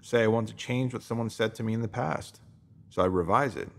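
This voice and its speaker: A man narrating a scripted self-help text in an instructive, measured way, posing emphatic rhetorical questions. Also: fairly low pitch, creaky. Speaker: narrator reading a written post.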